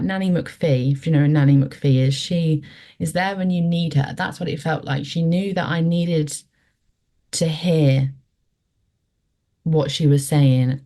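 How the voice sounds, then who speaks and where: Woman in her twenties, guest, low mellow voice, reflective and halting. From West Yorkshire, England. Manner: reflective, hesitant, lots of fillers. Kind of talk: conversational storytelling interview.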